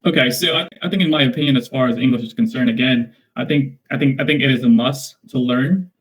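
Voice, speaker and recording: Filipino man, measured and repetitive. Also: mid-range voice, a little hiss or hum, rough recording. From Philippines. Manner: measured, repetitive, leans on "basically" and restarts. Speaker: interviewee. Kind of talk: casual interview answers.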